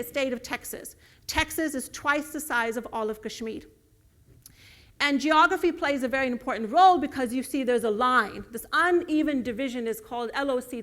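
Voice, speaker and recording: A woman's voice: high voice, fairly bright tone. A woman whose first language is Urdu, giving an earnest lecture with slides and building emphasis on key words. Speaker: guest lecturer.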